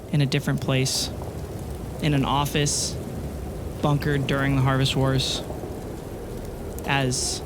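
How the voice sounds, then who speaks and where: A man narrating scenes as game master, speaking in a slow, measured way with many pauses. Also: mid-range voice, monotone, noisy background. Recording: loud music bed.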